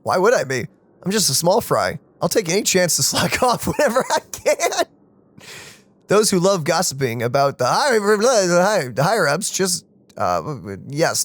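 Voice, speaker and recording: A woman's voice: on the low side, dry recording. A woman streaming a let's play, casually commenting and reading game dialogue aloud. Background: game music.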